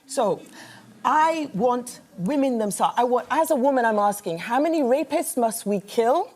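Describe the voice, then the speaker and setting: Emphatic, higher-pitched woman making an argument. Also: lively intonation, moderate pace, darkish tone. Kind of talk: impassioned public argument.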